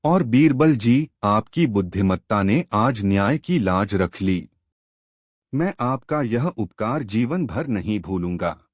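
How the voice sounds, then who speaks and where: A man's voice: fairly low pitch, lively intonation, slow pace, no room sound, clean studio sound. A man voicing a humble, honest petitioner in a storybook tale, speaking earnestly and with deference. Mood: grateful.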